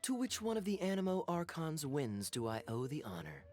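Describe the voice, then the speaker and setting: An actor playing a suave, teasing young knight in a video game, with a smooth, wry, theatrical delivery. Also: male voice, on the high side, wildly swooping pitch, moderate pace, darkish tone, faint music behind. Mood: playful, teasing. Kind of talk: video game character dialogue.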